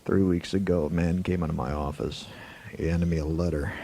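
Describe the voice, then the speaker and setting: Casting: male actor as weary Western radio-drama marshal, very low and heavily creaky, slow, laconic delivery. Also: fairly even intonation. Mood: grave, weary. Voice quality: gravelly, creaky. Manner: slow, halting, deliberate delivery. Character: laconic, weary Old West frontier marshal. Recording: noisy, hissy 1980s amateur tape.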